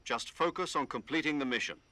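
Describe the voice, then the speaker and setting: An actor playing a brisk male commanding officer giving orders over the radio in a game cutscene. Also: medium pitch, bright, forceful tone, dry recording, natural voice. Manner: brisk, lecturing. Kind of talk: video game cutscene acting.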